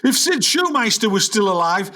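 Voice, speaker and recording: A man's voice: high voice, big pitch swings, moderate pace, pushed and bright, full character voice. A man playing an aging, grumpy, melodramatic jazz musician with a North of England accent in a comic radio play. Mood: grumbling. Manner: griping, melodramatic, indignant.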